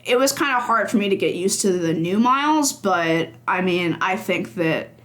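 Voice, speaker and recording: Chatty animated young woman. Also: medium pitch, normal speed. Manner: chatty, animated, laughs often, acts out her own thoughts in quotes. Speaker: games journalist guest. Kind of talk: casual podcast interview.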